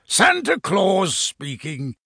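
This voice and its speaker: A man voicing a jolly, affectionate old uncle in a storybook, with a rumbly voice and slow, chuckling manner. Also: huge pitch swings, sharp tone, slightly rough, dry recording, studio quality, full character voice. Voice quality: deep, rumbly.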